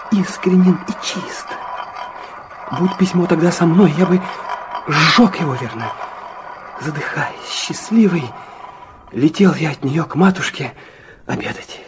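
An actor playing an earnest, lovesick young student confessing intimately in a radio play. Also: male voice, on the high side, background noise. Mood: fervent. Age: young adult. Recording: studio radio drama with music behind.

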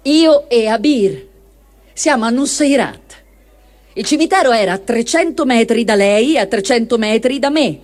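A woman reading diary entries aloud in a quiet, earnest way. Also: a little high, animated, normal speed. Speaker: reader.

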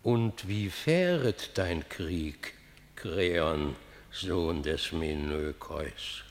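A chorus elder in a verse radio play. The low voice creaks and moves with wide inflection, pointed and reproachful, ringing in a large hall. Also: male voice, expressive, very slow, heavily acted. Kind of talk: stage-like verse radio drama. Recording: long hall reverb.

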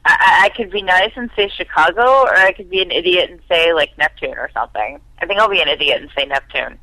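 A woman's voice: fairly low pitch, quick pace, bright, forceful tone, no room sound, low-quality recording. A woman calling in to a phone show, chatting casually. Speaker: caller.

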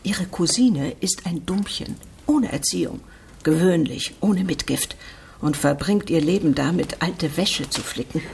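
Haughty low-voiced lady. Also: lively intonation, unhurried, creaky, a little mellow, slight background noise. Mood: scornful. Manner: disdainful, emphatic. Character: haughty, gossiping, scornful provincial married lady. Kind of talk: German radio drama acting.

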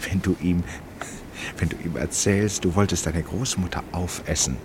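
An actor playing an eccentric, stubborn retired gentleman in a German radio play, musing and drily ironic. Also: low voice, moderate pace, slight background noise, lightly acted. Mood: wry, musing.

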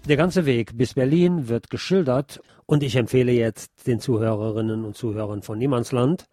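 Mellow male radio host, dry sound. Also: medium pitch, normal speed, no room sound, faint music behind. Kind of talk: scripted radio narration.

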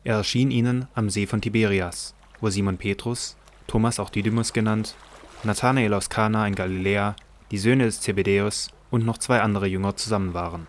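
A man with a low voice narrates scripture for an audio drama. He reads from a script as the storyteller. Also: steady tone, fairly quick.

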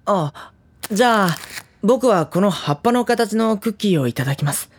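An actor playing a gentle, anxious teenage schoolboy who narrates an audio drama with wry worry. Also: male voice, sits high, lively intonation, moderate pace, breathy edge, no room sound. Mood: hesitant, polite. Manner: wry, worried inner monologue. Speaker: narrator and lead character.

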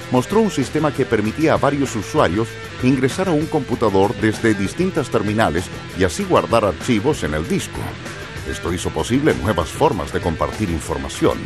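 A man reading scripted educational narration for radio. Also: deep voice. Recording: music bed under narration.

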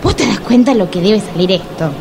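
A woman's voice: medium pitch, expressive, fairly quick, noisy background, natural voice. An actress with a Rioplatense Spanish accent playing a nervous, suspicious woman who has just separated. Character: anxious newly separated woman, Cecilia.

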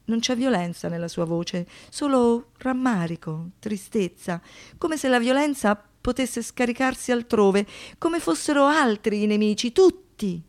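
A woman giving scripted documentary theatre narration with deliberate, expressive, pointed phrasing. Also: on the high side. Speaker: stage narrator.

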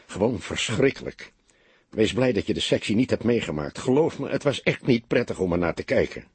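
A man's voice: fairly low pitch, expressive, a little fast, breathy edge, no echo. A man voicing an insecure, sensitive young police detective in an audiobook, speaking in a timid, hesitant, earnest way. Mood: shaken.

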